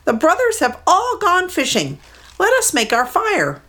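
Woman voicing a sly, frightened mud hen, very high and squeaky, storybook bird. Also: wildly swooping pitch, measured pace, bright, forceful tone, full character voice. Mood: pleading shrill.

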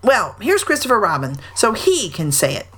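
A woman's voice: mid-range voice, huge pitch swings, normal speed, a bit bright, slightly rough, voice pushed up, heavily acted. A woman voicing a fussy, bossy male rabbit in a storybook.

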